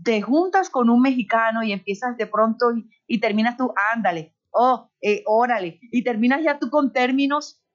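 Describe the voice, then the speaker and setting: A middle-aged woman with a softened Colombian Caribbean accent, chatting expressively as an interview guest. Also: on the high side, normal speed. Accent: Colombian Caribbean coast, somewhat neutralized. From Barranquilla, Colombia. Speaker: interview guest, radio host by profession. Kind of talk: conversational interview, personal anecdotes. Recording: dull lo-fi internet call audio.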